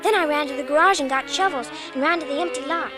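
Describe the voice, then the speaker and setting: A woman's voice: sits high, fast, over music, natural voice. A young actress playing a stubborn, frightened little girl in a vintage radio drama, pleading and tearful. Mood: narrating. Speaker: child lead in radio drama. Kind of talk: 1940s radio acting. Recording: old radio broadcast with noise.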